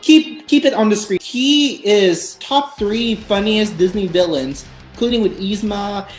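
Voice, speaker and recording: A woman's voice: mid-range voice, unhurried. Gushy woman host chatting about films, roomy echo, faint music under. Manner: enthusiastic, gushing. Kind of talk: casual chat about films.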